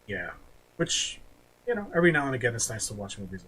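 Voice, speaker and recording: A man hosting a podcast in casual chat, with a halting, offhand way of talking. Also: mid-range voice, big pitch swings, fairly bright tone. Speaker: podcast host. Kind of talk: casual chat, movie ranking. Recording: clearly noisy background.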